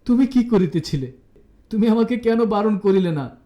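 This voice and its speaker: A man's voice: sits high, animated, moderate pace, warm tone, voice pushed up. A man voicing an impulsive young married woman in an audio story, exclaiming, scolding and pleading with emphatic delivery. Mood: distressed reproach. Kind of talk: audiobook character dialogue.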